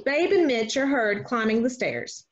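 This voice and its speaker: Lively high-pitched woman narrator. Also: unhurried. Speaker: narrator reading stage directions. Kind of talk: scripted narration, play table read. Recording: dull lo-fi online call sound.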